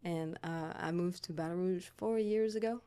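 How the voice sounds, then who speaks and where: Guest: Quebec French-accented woman, middle-aged, lowish voice, halting, filler-heavy speech. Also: warm tone, smooth. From Montreal, Canada. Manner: hesitant, many fillers and restarts. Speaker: podcast guest, artist and writer. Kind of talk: casual interview chat.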